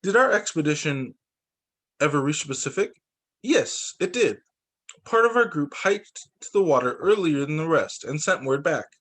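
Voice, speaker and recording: A man, a teacher, reading a picture book aloud to students in an expressive, deliberate storytelling way. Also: a little high.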